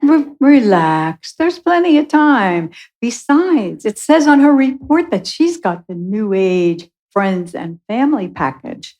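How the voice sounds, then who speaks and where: A woman's voice: a little high, very animated, slow, few pauses, a little mellow. A woman playing a bumbling, well-meaning little angel in a radio play, earnest, fussy and eager to please. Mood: matter-of-fact. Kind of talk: live radio play acting.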